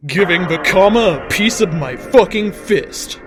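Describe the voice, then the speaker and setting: A man's voice: sits high, lively intonation, unhurried. A man reading a story aloud as a dramatic narrator. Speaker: host reading fan fiction aloud. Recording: music under the voice.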